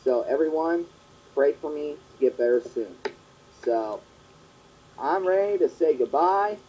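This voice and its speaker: A young man hosting his own fan question show, talking in a rambling, earnest way that repeats itself, his voice hoarse and partly gone from a long cough. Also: a little high, lively intonation, a little slow, warm tone. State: sore throat, partly lost voice from a long cough. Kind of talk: casual monologue answering fan questions. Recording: dull lo-fi home recording.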